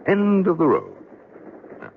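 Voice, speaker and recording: A man's voice: a little high, wildly swooping pitch, unhurried, vocal fry, heavily acted. A man acting an earnest, bitter widowed businessman in a radio drama, speaking plainly with hesitant, emphatic phrasing. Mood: grieving, confessional. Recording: muffled, noisy old radio drama recording.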